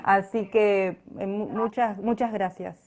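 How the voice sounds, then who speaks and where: A woman's voice: medium pitch, steady tone, slow, a little mellow. A woman with an Argentine accent speaking casually as an interview guest on a live internet call. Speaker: interview guest, writer-director. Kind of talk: casual chat. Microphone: internet live video call.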